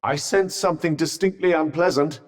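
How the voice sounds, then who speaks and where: A man's voice: fairly high pitch, moderate pace, long reverb tail, natural voice. An actor playing a dry-witted, sardonic male magic bracelet in a video game, speaking with posh understatement. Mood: wry. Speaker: companion character. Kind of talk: game voice lines.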